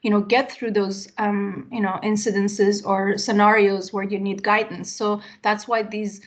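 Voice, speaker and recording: A woman's voice: mid-range voice, flat delivery. Reflective woman in her late forties. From Iran. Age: 40s. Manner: reflective, fills pauses with "you know" and "um". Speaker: interview guest, tech executive. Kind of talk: casual conversational interview.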